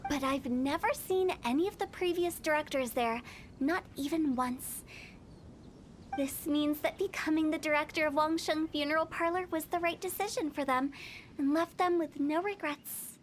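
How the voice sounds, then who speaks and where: A woman's voice: high-pitched, normal speed, slight background noise, natural voice. A woman voicing an eccentric, cheerfully morbid young funeral director in a game, with playful teasing. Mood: reflective. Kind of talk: video game character acting.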